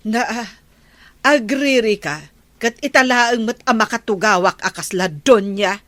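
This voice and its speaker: A woman acts out a bossy, vain wife in a village comedy drama, with a northern Philippine accent. She nags in a forceful, fairly high voice, drawing out her words in a slow delivery. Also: no echo. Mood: nagging. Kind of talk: comedy audio drama acting.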